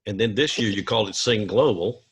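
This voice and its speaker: An older man hosting a casual interview, chatty and quick with short affirmations. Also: on the low side, slightly rough. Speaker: interview host.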